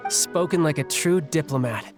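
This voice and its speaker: An actor playing a cocky, playful young warrior in a video game, breezy, teasing and casual in manner. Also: male voice, on the high side, normal speed, natural voice. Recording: music behind the voice.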